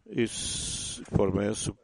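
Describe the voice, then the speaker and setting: A man giving a sermon in halting speech, often restarting and repeating words as he teaches the congregation. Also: fairly low pitch, big pitch swings, gravelly. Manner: addresses listeners as "usted". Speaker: church speaker.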